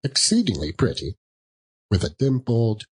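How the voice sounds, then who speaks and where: Animated, unhurried male storyteller. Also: medium pitch, slightly rough. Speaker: narrator. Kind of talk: dramatic audiobook narration of a classic tale.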